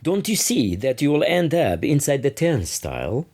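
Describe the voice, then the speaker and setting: A man's voice: mid-range voice, sing-song, moderate pace, darkish tone, voice pushed up. A man reading aloud the part of an old, officious border guard who lectures dismissively in a literary story. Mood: condescending. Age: older.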